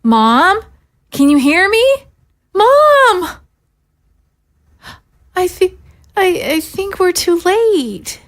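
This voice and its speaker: An actress playing a worried, hesitant young daughter from a rough settlement in an audio drama. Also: female voice, extremely high pitch, big pitch swings, very slow, pauses often, a bit bright, heavily acted. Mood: panicked, grieving.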